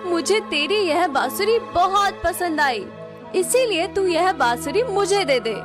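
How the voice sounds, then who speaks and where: A woman's voice: very high-pitched, animated, measured pace, music in the background. A woman voicing a wicked, grasping fairy in a cartoon, with an imperious, demanding manner. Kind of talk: Hindi moral-story cartoon acting.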